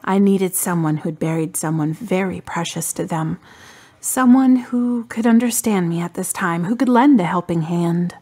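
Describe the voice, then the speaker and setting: A woman acting in a radio drama as a grieving adult daughter full of doubt, pensive and questioning, with a breathy voice. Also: on the low side, normal speed, dark tone. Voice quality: husky, breathy.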